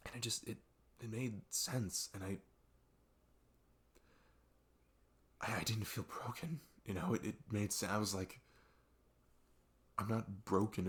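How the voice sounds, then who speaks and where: A young man playing a best friend who opens up in an intimate ASMR deep talk, rambling and halting, earnest and reflective. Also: vocal fry, fairly bright tone. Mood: reflective, candid. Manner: rambling, halting, reflective, frequent "like" and "you know". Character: earnest male best friend opening up late at night. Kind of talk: intimate ASMR audio roleplay, unscripted-sounding deep talk.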